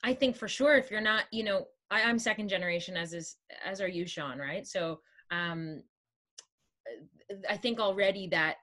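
A woman in her thirties from Toronto, an actress talking in a casual interview over a video call, animated and full of fillers. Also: medium pitch, normal speed. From Toronto, Canada. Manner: animated, many fillers and restarts. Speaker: actress and producer, guest. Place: home room, indoors, green wall.

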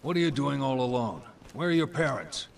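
An actor with an American accent playing a gruff, protective middle-aged father on a rescue in a video game. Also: medium pitch, animated, fairly quick, slight background noise. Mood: gentle, gruff. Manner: gruff, paternal, plain-spoken. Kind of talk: video game cutscene acting. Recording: game audio with clear background ambience.

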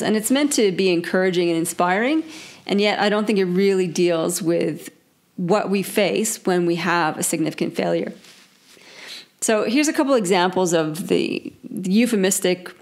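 A woman in her forties with a croaky voice from a cold, hosting her own leadership podcast in a bubbly, upbeat way. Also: on the low side. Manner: bubbly, upbeat, laughs often. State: hoarse from a cold. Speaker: podcast host, leadership coach. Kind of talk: casual solo podcast talk.

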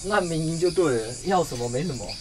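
A man's voice: on the high side, animated, fast talker, noisy background, natural voice. An actor playing a cocky, mocking young male schoolmate in a radio drama. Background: music.

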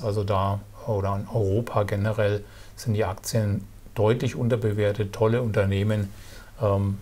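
A middle-aged man giving casual stock market commentary to camera, relaxed and halting, with many fillers and restarts. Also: mid-range voice. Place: indoor studio with virtual backdrop.

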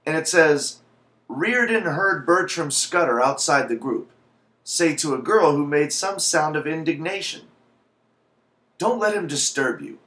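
A man's voice: fairly high pitch, lively intonation, unhurried, fairly bright tone. A man in his thirties speaking as a video blogger into a webcam microphone in a small home office. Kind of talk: casual vlog talk with passage read aloud. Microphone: webcam microphone at desk distance. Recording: roomy, echoey webcam sound.

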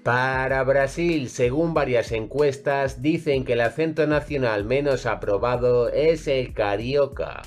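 A man narrating a ranking video in a scripted voice-over, reading each entry in a measured, even way. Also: medium pitch, soft background music. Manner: measured, evenly read list delivery.

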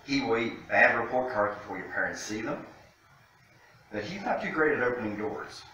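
A man's voice: medium pitch, expressive, moderate pace, bright, forceful tone, dull audio. A middle-aged man reading a picture book aloud to children in an indoor room, picked up by a distant camera microphone. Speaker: guest storytime reader, city official. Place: indoor room, seated by a fireplace mantel. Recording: very echoey room, distant mic, faint noise.

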